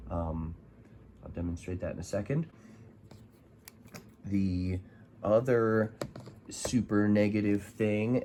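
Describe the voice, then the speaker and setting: Slow, low-voiced man reviewing gadgets casually. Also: a little mellow, slight background noise. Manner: halting, rambling delivery with long pauses. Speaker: product reviewer.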